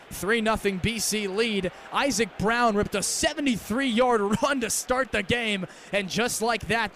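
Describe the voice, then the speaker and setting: A young man calling American football live on the radio as a play-by-play announcer, with crisp, detailed delivery. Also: sits high, fairly bright tone. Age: young adult. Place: stadium game broadcast. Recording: live outdoor broadcast with background noise.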